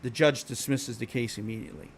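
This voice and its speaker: A man's voice: medium pitch, quick pace, creaky, slight background noise. Blunt, profane Philly man rambling. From Philadelphia. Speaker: live streamer. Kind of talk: casual storytelling chat to stream viewers.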